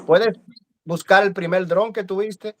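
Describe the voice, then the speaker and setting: A man's voice: high voice, fairly even intonation, a little slow. A man hosting a live video-call interview, friendly and hesitant, with many fillers. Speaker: host. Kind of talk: casual live-stream interview.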